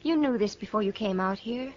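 Actress as guarded, weary American frontier widow. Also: female voice, medium pitch, brisk, a little mellow, close to an everyday voice. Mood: hurt, resigned. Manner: guarded, wounded dignity. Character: proud, weary young outlaw's widow on the frontier. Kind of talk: 1950s radio western acting. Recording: muffled, slightly noisy old radio broadcast.

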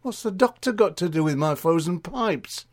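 A man's voice: high voice, expressive, moderate pace, pitched above the performer's own voice. A man voicing a gossipy, indignant older woman in a comic children's story. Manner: exclaiming, indignant, music-hall gossip delivery. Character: gossipy comic older woman, a dim, chatty canteen lady.

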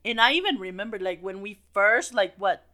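A woman's voice: medium pitch, animated, a little fast, bright, forceful tone. A woman co-hosting a podcast in casual chat, mixing Spanish into her English with plenty of fillers. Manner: chatty, code-switching, lots of fillers like "like" and "so". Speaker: podcast co-host.

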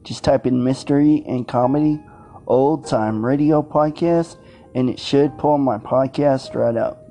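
A man's voice: medium pitch, unhurried, dark tone. Male podcast host over faint music. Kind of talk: casual scripted podcast outro.

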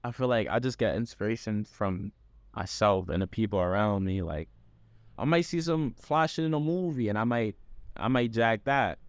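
A man's voice: lowish voice, moderate pace, clear, resonant tone, dry recording. A young man from Jamaica in a casual group chat, laid-back and slangy, often saying "bro". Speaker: member of a creative group.

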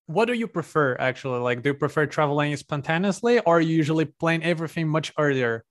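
A man's voice: fairly high pitch, animated, quick pace. A man conducting a casual interview, asking questions hesitantly and restarting them as he goes.

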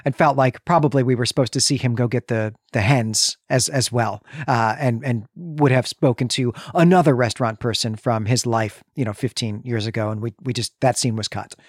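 A man's voice: mid-range voice, normal speed, few pauses, breathy edge, dry recording, studio quality. A man co-hosting a podcast, talking about film in a conversational, analytical way. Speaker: podcast co-host. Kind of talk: casual film discussion.

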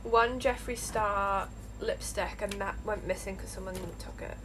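A young woman with a slight lisp, co-hosting a casual podcast chat while getting ready to go out, chatty and quick to laugh. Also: medium pitch, steady tone, noisy background. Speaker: podcast co-host.

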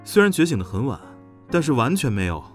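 A young general in a modern audio drama , acted by a man. He is playful and teasing, and his pitch moves in an animated way. Soft music plays behind his voice. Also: fast talker, natural voice. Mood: friendly, earnest. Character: teasing young male Alpha general, under thirty, playful.

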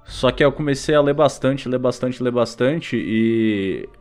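A man's voice: mid-range voice, unhurried, a little mellow, clean tone. A man with a Brazilian accent hosting a podcast in a casual, slangy, conversational way. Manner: informal, slangy. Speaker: podcast host. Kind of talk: casual chat. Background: music.

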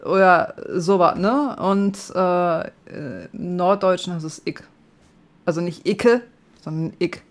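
A man's voice: sits high, slow pace. A man from northern Germany with a northern German accent, hosting a podcast in casual chat, hesitant with many fillers and frequent laughs. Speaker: podcast host.

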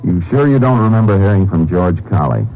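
A man playing a steady, businesslike Texas Ranger in a 1950s radio crime drama, speaking in a terse, methodical way. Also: sits low, fairly quick. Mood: probing. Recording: muffled old radio recording, music behind.

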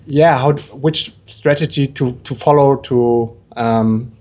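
A man's voice: medium pitch, slow, a little mellow, highs cut off. A man from Germany with a German accent, telling his story as a podcast guest, full of energy and with many ums and restarts. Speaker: guest entrepreneur.

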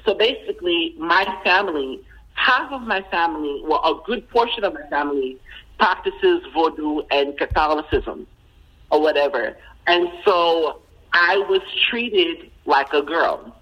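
A woman in casual conversation as a guest, who repeats her phrases and fills pauses with "you know.". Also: sits low, animated, muffled recording.

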